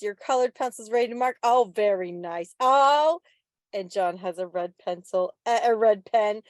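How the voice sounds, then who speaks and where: A woman presents a quiz over a video call in a high, dry-sounding voice. She takes her time and teases the group like a mock-stern schoolteacher. The delivery is playful and addressed straight to her listeners. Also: no room sound. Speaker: quiz presenter at a club meeting. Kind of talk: playful classroom-teacher patter to a group. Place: online video meeting from a separate room.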